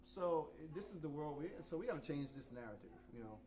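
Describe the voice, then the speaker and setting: A man in his fifties from New York, talking frankly and profanely to students at a masterclass, sometimes quiet and sometimes loud. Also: on the high side, lively intonation, fast talker. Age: 50s. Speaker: visiting drummer teaching a masterclass. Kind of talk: casual talk to students. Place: rehearsal room.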